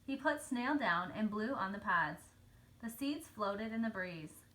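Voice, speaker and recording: A woman reading a children's story aloud as narrator, telling it clearly for young children. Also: medium pitch, animated, measured pace, pushed and bright, poor audio. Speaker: storybook reader.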